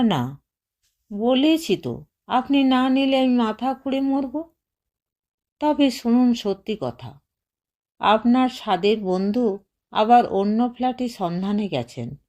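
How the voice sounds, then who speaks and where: A woman's voice: a little high, dark tone. A woman reader voicing an anguished, resolute young housewife who pleads with bitter insistence in an audio story. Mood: desperate pleading.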